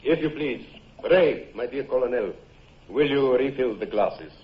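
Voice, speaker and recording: An actor playing a courtly, inquisitive foreign dignitary with courteous, formal manners in an old-time radio drama. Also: male voice, medium pitch, animated, measured pace, highs cut off, lightly acted. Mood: ceremonial.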